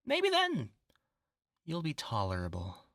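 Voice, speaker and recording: A gloating, vengeful superhuman scientist played by a voice actor in a horror audio drama. The delivery is slow and theatrical, swinging widely in pitch with mocking menace. The voice is bright and sharp, recorded very dry and close. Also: wildly swooping pitch, dry recording, heavily acted. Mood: gloating, cruel.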